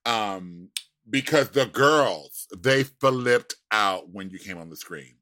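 Male podcast co-host, animated bright voice, endearment-heavy hype. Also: on the low side, slow, no room sound. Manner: warm-hearted hype, calls people "baby" and "girl". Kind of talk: casual podcast chat.

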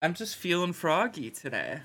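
A man's voice: on the high side, huge pitch swings, normal speed, crowd noise. A man co-hosting a podcast, chatting casually about sports. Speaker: podcast co-host.

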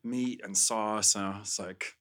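A man's voice: lowish voice, steady tone, measured pace, a bit bright. An American man talking casually as a guest on a podcast. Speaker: podcast guest, adult film performer. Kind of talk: casual chat.

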